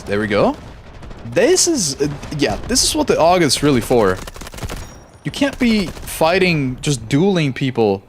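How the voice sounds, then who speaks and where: A laid-back young man talks through a live gaming stream at a middle pitch, jumping between chat replies and quick in-fight reactions. Faint gunfire from the game sits behind his voice. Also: a little hiss or hum. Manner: easygoing, chatty, reads chat aloud, quick reactions mid-fight. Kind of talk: casual live-stream chat while playing.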